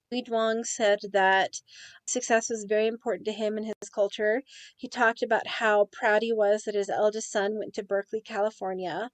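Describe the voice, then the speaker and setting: A young woman presenting a school assignment report in a steady, earnest way. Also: medium pitch, no room sound. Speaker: student presenter. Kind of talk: reading a class report.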